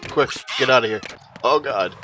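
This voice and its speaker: A man giving low-key, halting, explanatory commentary as he plays a video game. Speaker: let's play host. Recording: loud game music behind commentary.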